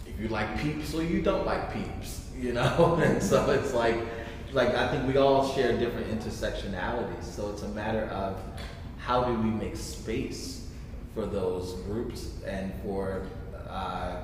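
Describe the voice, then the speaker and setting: A man speaking as a panelist in a casual, reflective live discussion, answering questions in a conversational way. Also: on the low side. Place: large reverberant room at a live event. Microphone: distant room microphone. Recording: very echoey hall, distant mic, noisy.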